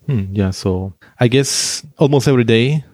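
A man's voice: mid-range voice, moderate pace, a little mellow, no echo, clean studio sound. A man interviewing a guest in a hesitant, conversational way with many fillers.

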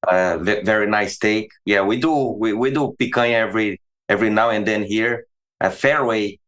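A man in his forties from Brazil, speaking with a Brazilian Portuguese accent as a veterinary professor guest on an interview, talking in a halting way with many restarts and repeated words. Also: fairly low pitch, fairly bright tone. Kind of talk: conversational interview.

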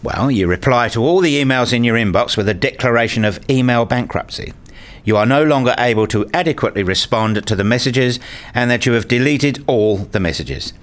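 A man playing an earnest, dryly sarcastic university researcher in a scripted radio play, with a deadpan, formal way of talking. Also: mid-range voice, a little fast, close to an everyday voice. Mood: dry, teasing. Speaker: narrator and lead actor in a radio play. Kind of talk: first-person scripted radio play narration and dialogue.

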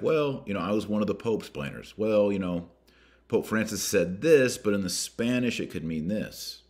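Low-pitched male podcast host, emphatic. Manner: emphatic, deliberate delivery with rhetorical questions. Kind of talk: religious commentary podcast, reading and commenting on a letter.

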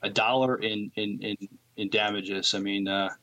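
A man with a lowish voice co-hosts a podcast. He reads news items out loud in an informal way and adds casual remarks. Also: flat delivery, normal speed. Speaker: podcast co-host. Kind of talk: reading news items aloud, with casual comment.

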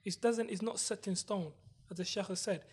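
A man's voice: high voice, moderate pace, husky. A man in a casual discussion, talking earnestly in repeated phrases and rhetorical questions. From Britain. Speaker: panel discussion participant.

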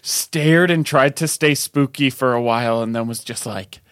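A man's voice: mid-range voice, moderate pace, a bit bright, smooth, no echo, clean studio sound. A man co-hosting a casual podcast, swapping anecdotes in a joking way with hesitant starts. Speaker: podcast co-host. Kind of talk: casual group banter and anecdotes.

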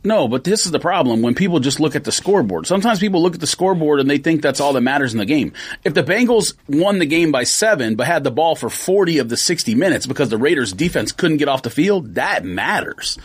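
A man co-hosting a podcast, debating football fast and insistently in casual talk. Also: a little high, husky. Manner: animated, argues fast with stats. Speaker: podcast co-host.

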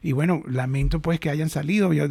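A man's voice: on the high side, a little fast, vocal fry, darkish tone, husky, no echo, clean studio sound. A middle-aged Venezuelan man hosting a casual industry chat, talking animatedly with many restarts. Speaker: host.

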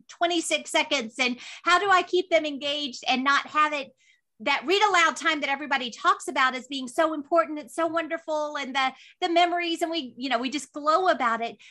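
A woman hosts an interview podcast in a high-pitched voice with a bright, forward edge. She is chatty and emphatic, stacking phrases as she goes. The style is informal and conversational. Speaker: podcast host.

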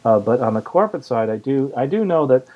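A man's voice: medium pitch, big pitch swings, quick pace, dull audio. A man hosting a casual podcast, talking conversationally as he answers listener questions. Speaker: podcast host.

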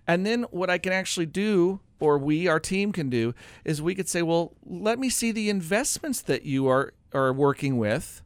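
A man's voice: sits high, expressive, moderate pace, hardly any pauses, clear, resonant tone, no room sound. A man hosting a talk radio show as a financial adviser, explaining things in an informal, folksy, conversational way. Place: radio studio.

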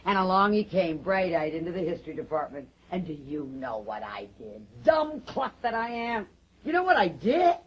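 An actress playing a bitter, taunting middle-aged wife in a stage drama, mocking and theatrical with drawn-out emphasis. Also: female voice, lowish voice, sing-song, breathy edge, muffled recording. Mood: wry storytelling. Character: bitter, taunting middle-aged wife telling stories about her husband.

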